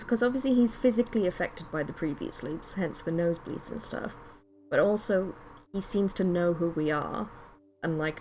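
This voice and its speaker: A woman's voice: on the low side, a little mellow, a little hiss or hum. A woman streaming a game, chattily commenting and theorising aloud as she plays and reads along. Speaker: streamer playing a visual novel. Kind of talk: live game commentary with read-aloud narration.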